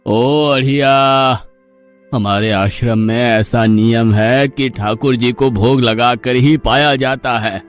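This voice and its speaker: Brief: strict, patient old guru, unhurried and admonishing, voiced by a man, mid-pitched. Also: steady tone, faint music behind, natural voice. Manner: slow, admonishing, fatherly. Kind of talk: Hindi cartoon story dubbing.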